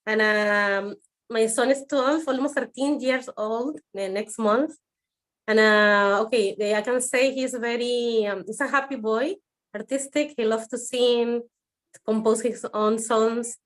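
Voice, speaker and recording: A woman's voice: fairly high pitch, steady tone, a little slow. Hesitant Spanish-accented woman in her forties. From Venezuela, raised in Madrid. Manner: hesitant, many fillers and restarts, a bit nervous. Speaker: guest. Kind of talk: online interview answer. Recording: music in the background.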